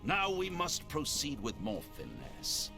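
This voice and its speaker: Calculating army leader: formal, measured, varied intonation, fantasy game with prominent score. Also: male voice, on the high side, wildly swooping pitch, vocal fry, heavily acted. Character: composed, scheming male military lord. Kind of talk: fantasy video game voice acting. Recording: loud game music behind.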